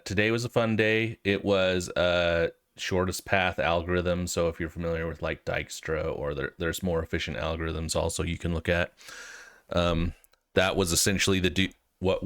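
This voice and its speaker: American guy w a cold, really deep but clear voice, casually talking thru a tutorial, kinda hesitant. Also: a bit bright. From United States. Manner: informal, hesitant, thinking aloud. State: has a cold. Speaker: host of a programming walkthrough video. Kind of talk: casual explanatory tutorial talk.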